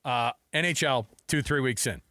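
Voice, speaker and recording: A man's voice: mid-range voice, normal speed, sharp tone, dry recording, clean studio sound. A man hosting a sports radio show, arguing his points emphatically in casual, bantering debate. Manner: argumentative, emphatic.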